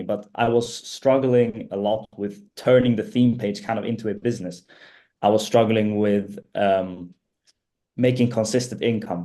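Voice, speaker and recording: A man in his twenties from Sweden, chatting casually as an interview guest, relaxed and rambling with lots of fillers. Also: fairly low pitch. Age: 20s. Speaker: guest, student and page owner.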